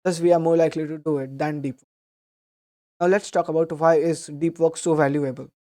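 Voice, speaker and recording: Young adult man, slightly hoarse, dark mellow tone, steady earnest explainer. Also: fairly high pitch, a little fast. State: slightly hoarse from a sore throat. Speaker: book summary host. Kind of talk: explanatory book summary talk.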